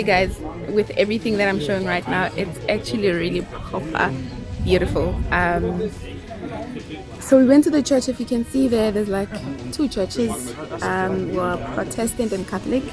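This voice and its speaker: A woman's voice: medium pitch, moderate pace. Enthusiastic woman vlogging, music behind her. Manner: enthusiastic, admiring. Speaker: travel vlogger. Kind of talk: casual chatty vlog narration to viewers. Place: outdoors in a village.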